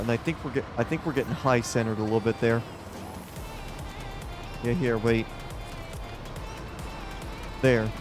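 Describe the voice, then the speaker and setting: A man with a loud voice giving rambling, casual commentary while streaming a game live, often restarting his sentences and talking to the game. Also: medium pitch. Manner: rambling, self-correcting, talks to the game.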